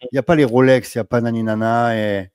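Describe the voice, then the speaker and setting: A man's voice: medium pitch, fairly even intonation, fast talker, a little mellow, clear, resonant tone, no echo, clean studio sound. A man interviewing a guest, talking emphatically and addressing the viewers directly with motivational advice. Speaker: interviewer, business coach.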